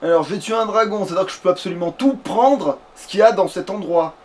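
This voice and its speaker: Male gamer, notably high voice; casual, hesitant let's play chatter with offhand swearing. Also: fast talker. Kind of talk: casual gameplay commentary.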